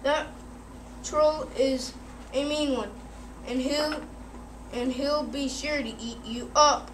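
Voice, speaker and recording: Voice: high-pitched, steady tone, slow pace. A child performer playing the cautious eldest billy goat brother in a school readers theater. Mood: worried, warning. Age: child, about eight or nine. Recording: noisy room, far from mic.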